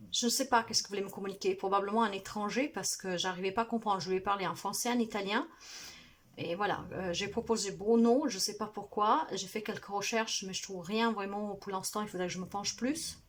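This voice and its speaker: A woman spiritual healer speaking to her viewers in a soft, earnest, halting way, full of fillers, and sounding tired. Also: medium pitch. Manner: hesitant, halting, many fillers. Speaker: spiritual healer and card reader. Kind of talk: casual monologue to viewers.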